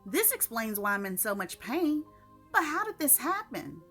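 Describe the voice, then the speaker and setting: Woman acting an exasperated, anxious man, bright-voiced. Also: fairly high pitch, sing-song, moderate pace, faint music behind, full character voice. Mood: shocked, puzzled. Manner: emphatic, exclaiming self-questioning. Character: anxious, exasperated man puzzling over forgotten appointment.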